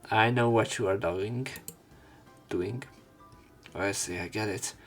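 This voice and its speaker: A man's voice: on the low side, unhurried, faint music behind. A man narrating a visual novel let's play, reading the story aloud with casual commentary.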